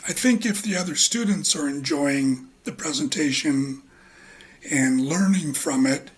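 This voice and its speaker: A man with a husky voice giving a relaxed spoken lecture as an English teacher, halting often with ums and uhs. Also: fairly high pitch.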